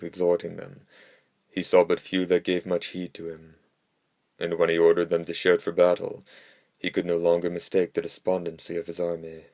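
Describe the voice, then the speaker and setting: Male audiobook narrator, low and extremely even, measured delivery for classical history. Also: no room sound.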